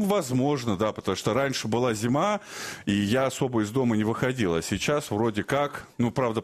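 A man co-hosting a talk show, chatting casually with a wry edge. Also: lowish voice. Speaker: co-host.

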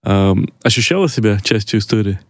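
A man's voice: on the low side, expressive, a little fast, dry recording, clean studio sound. A man interviewing a guest, asking his questions hesitantly with fillers.

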